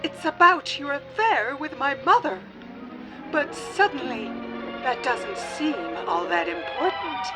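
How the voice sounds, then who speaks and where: A woman playing a betrayed young fiancée, slow and dramatic, in a comedic radio play. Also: sits high, big pitch swings, loud music behind the voice, low-quality recording. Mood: hurt, resolute. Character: betrayed young fiancée, president's daughter, melodramatic.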